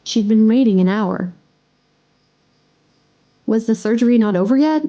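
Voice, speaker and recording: A woman's voice: on the high side, unhurried, dark tone, muffled recording. A woman narrating a dramatic scripted story.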